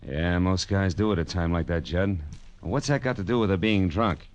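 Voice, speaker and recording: Deep-voiced hard-boiled radio detective, acted by a man. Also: moderate pace, dry recording, lightly acted. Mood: sardonic. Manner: clipped, sardonic delivery. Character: hard-boiled, wisecracking male private detective narrating his case. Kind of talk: 1940s radio noir acting. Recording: old-time radio with loud music.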